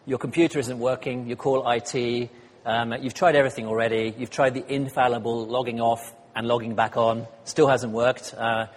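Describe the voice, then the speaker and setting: Husky-voiced man preaching in a hall. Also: mid-range voice, little pitch movement, normal speed. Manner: chatty, self-deprecating, many ums and uhs. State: slightly hoarse. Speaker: guest speaker. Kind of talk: sermon with light humor. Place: church hall. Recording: church hall reverb.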